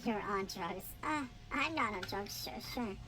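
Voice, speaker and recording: Playful, animated woman streamer. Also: mid-range voice, unhurried, noisy background. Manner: playful, swears, jokes with chat. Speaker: streamer reading a visual novel. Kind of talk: live-streamed reading and chat.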